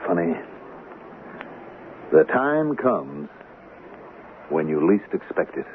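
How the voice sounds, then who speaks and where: Earnest, low-voiced radio-drama senator. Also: male voice, big pitch swings, slow pace, full character voice. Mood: bemused. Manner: earnest, questioning, mildly wry. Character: earnest, modest male senator, ambitious but doubtful. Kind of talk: old-time radio drama acting. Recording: muffled, noisy old radio broadcast.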